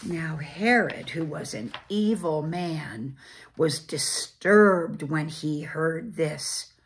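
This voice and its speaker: Slow-paced woman reading a children's story. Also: low-pitched. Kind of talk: read-aloud children's storybook narration.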